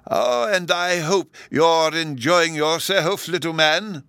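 A man's voice: fairly high pitch, takes it slowly, sharp tone, smooth, no echo, clean studio sound, pitched above the performer's own voice, exaggerated voice. A man voicing a befuddled, kindly old professor in a storybook, with a hesitant, singsong delivery. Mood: puzzled, kindly. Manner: dithering, hesitant, singsong and theatrical.

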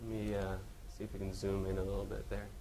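A man presenting radiology cases as a teaching lecture, halting and often correcting himself, with questions to his listeners. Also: low voice, completely flat delivery, dark tone. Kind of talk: medical case lecture with questions to the audience. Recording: noisy live lecture room recording.

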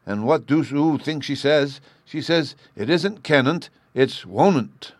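A man's voice: a little high, lively intonation, slow, no echo, pitched above the performer's own voice. Lisping little boy voiced by a man, baby talk, insistent, storybook, dry close sound. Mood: complaining. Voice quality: childish lisp, says oo for you. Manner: insistent, bouncy.

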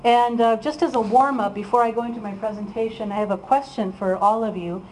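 A woman's voice: fairly high pitch, fairly even intonation, fairly quick, darkish tone, a little hiss or hum, rough recording. A woman from Iowa giving a chatty, informal talk to an audience as a guest speaker, with frequent ums and laughs. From Iowa, USA.

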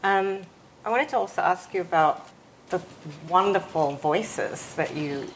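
A woman with a low-pitched voice conducts a literary interview. She circles toward long questions in a tentative, self-deprecating way. Some noise sits behind her on the live recording. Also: expressive, a little slow, low-quality recording. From West Indies. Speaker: interviewer, fellow novelist.

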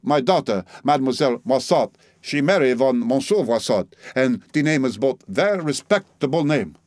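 A man's voice: mid-range voice, animated, moderate pace, a little mellow, no room sound, close to an everyday voice. A man voicing an indignant eighty-two-year-old French woman who speaks broken English with a French accent. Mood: haughty. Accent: put-on French accent.

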